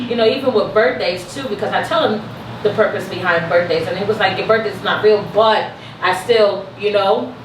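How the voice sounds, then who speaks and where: A woman in her thirties talks casually at a medium pitch, in an informal, anecdotal way. The recording is echoey and noisy, as if she sits a little away from the mic. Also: normal speed. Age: 30s. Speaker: podcast panelist. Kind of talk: casual group chat. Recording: echoey room, distant mic, clear noise.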